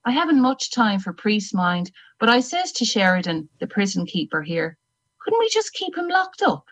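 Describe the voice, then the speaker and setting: A woman's voice: medium pitch, big pitch swings, normal speed, a bit bright. A woman voicing an older Irish woman executioner who speaks in a plain, dry, matter-of-fact way, with an Irish accent. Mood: grim. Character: old Irish woman hangman, grim and unrepentant.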